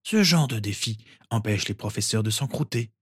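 A man reading aloud and voicing a kindly, mischievous old headmaster wizard, gentle and husky. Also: fairly low pitch, expressive, normal speed, no room sound, studio quality.

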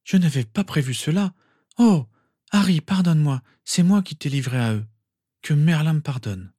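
An emotional, warm-hearted storybook mother, acted out by a man, raised well in pitch. She questions and exclaims emphatically, in a dry, close recording. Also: expressive, fairly quick, no echo, close to an everyday voice. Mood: distraught.

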